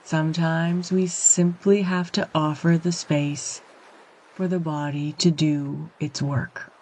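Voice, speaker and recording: A woman guiding a yoga nidra meditation, speaking in a calm, gentle, soothing way with measured phrases and long pauses. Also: low voice, rough recording. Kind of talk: guided meditation, slow and soothing instruction.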